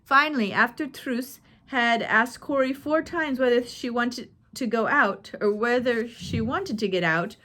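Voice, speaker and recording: A woman's voice: a little high, a little slow, hardly any pauses, sharp tone. A woman reading a chapter of a biography aloud as narrator, lifting her voice for quoted lines. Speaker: audiobook reader. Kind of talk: scripted narration.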